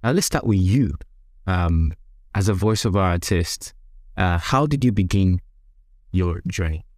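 Casting: male podcast host, Nigerian accent, lowish pitch, interview delivery, close dry recording. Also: animated, a little slow, darkish tone, no echo. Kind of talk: interview hosting, podcast introduction.

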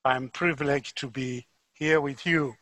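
A man from Zimbabwe with a Zimbabwean accent, preaching a sermon as a guest in a church, with measured, deliberate delivery that rises for emphasis. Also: mid-range voice, steady tone, bright, forceful tone, dry recording.

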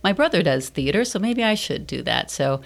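An American woman from California answering conversationally as a studio interview guest, in a halting, reflective way. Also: fairly low pitch, very animated, gravelly. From Fresno, California, United States. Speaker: guest, theater director and teacher. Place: radio studio.

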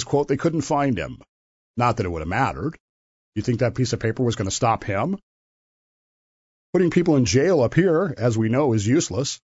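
A man's voice: mid-range voice, a little mellow, husky, no room sound. A man hosting a solo talk podcast, telling a story in an opinionated, conversational way and often correcting himself mid-sentence. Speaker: podcast host. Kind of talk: solo commentary monologue. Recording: dull lo-fi dry recording.

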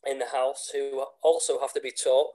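Congested man with a flat voice. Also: mid-range voice, moderate pace. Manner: hesitant, many restarts and fillers. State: congested with a cold; sore throat. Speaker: PE teacher. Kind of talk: casual interview answers.

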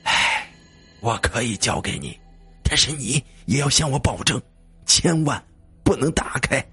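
A man's voice: mid-range voice, animated, husky, faint music behind. Man acting a weary, sighing old village man. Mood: grave warning. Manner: sighing, haltingly pleading. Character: weary old village man, gentle, anxious guardian of a secret.

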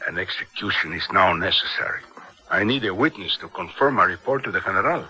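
An actor playing a suave, cunning Latin American army colonel, speaking in a formal, measured way in a radio drama. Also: male voice, deep voice, expressive, bright, forceful tone, full character voice, poor audio. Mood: cool, commanding.

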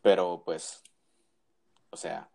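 A man's voice: deep voice, flat delivery, extremely slow, vocal fry, dark tone. A man co-hosting a casual wrestling chat, giving opinions with frequent restarts and tag questions. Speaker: wrestling podcast co-host.

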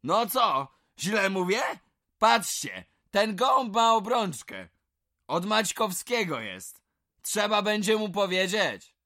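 A man's voice: sits high, big pitch swings, slow, bright, forceful tone, full character voice. An actor playing a blunt, foul-mouthed village man who talks colloquially in an audio drama.

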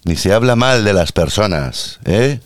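A man with an Andalusian accent rants through a podcast monologue in a low voice. His pitch leaps up and down with sarcastic, theatrical flair. The sound is dry and close, with no room echo. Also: animated, measured pace. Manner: ranting, sarcastic, playfully theatrical. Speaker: podcast host and healthcare worker.

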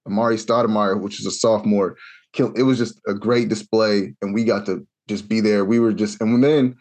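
A young adult man from Kansas telling a long, animated story in a casual podcast chat, acting out the voices he quotes. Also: lowish voice, normal speed. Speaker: podcast co-host.

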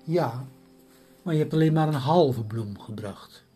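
A haughty bird princess with a low, mellow voice who talks down in slow, condescending lines, as a woman storyteller puts her on. She makes her demands in a spoken fairy tale, with soft guitar behind her. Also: expressive. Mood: lecturing.

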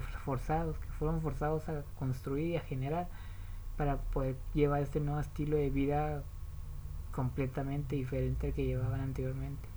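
A woman's voice: sits low, mellow, a little hiss or hum, poor audio. A woman with a Mexican accent explaining diet habits in a casual, rambling way, often restarting and correcting herself.

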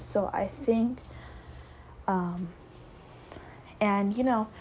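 A young woman in her twenties talks slowly and reflectively in a casual podcast, often restarting her thoughts. Her voice is mellow and soft-edged. A faint hiss sits under the recording. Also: mid-range voice, rough recording. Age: 20s. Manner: rambling, reflective, many fillers and restarts. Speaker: podcast host. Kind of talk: casual solo podcast talk.

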